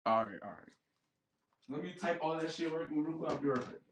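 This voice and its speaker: A man's voice: on the high side, lively intonation, fairly quick. A man from the United States in casual, slangy video-chat talk, often repeating his phrases. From Charleston, United States. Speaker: stranger on a random video chat.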